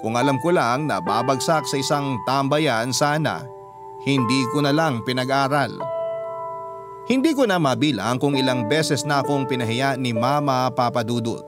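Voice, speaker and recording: Male Filipino radio storyteller, slightly low voice, dramatic first-person narration over prominent music. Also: lively intonation, normal speed. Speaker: radio storyteller reading a listener's letter. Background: prominent background music.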